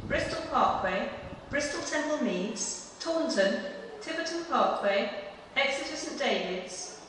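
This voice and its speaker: A woman's voice: on the high side, a bit bright. A woman reading a scripted railway announcement as a train station announcer. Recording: echoing station tannoy, distant, faint background noise.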